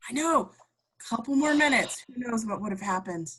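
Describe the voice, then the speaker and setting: A woman's voice: a little high, animated, moderate pace, fairly bright tone, husky, low-quality recording. A woman playing a wry, jaded male control-room technician in an amateur table read. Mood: consoling.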